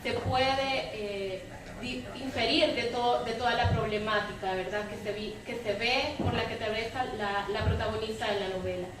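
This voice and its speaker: A woman's voice: fairly high pitch, fairly even intonation, moderate pace. A woman speaking off the cuff on a panel, explaining with emphasis and frequent tag questions. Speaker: panelist, women's rights advocate. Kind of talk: extemporaneous panel commentary. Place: public debate room with audience. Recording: very echoey hall, distant mic, faint noise.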